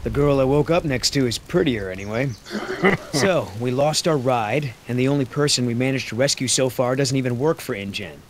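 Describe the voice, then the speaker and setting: A man's voice: mid-range voice, brisk, a little hiss or hum, close to an everyday voice. A man playing an easygoing, wisecracking young mercenary with a wry manner in a video game. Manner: wry, muttering to himself. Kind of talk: naturalistic video game acting.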